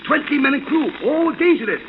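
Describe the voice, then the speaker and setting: An actor playing a clipped, urgent colonel in a 1940s radio drama. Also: male voice, very high-pitched, expressive, fairly quick. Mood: urgent, conspiratorial. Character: Japanese-American colonel, secret ally, clipped and urgent. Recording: noisy, muffled old radio recording.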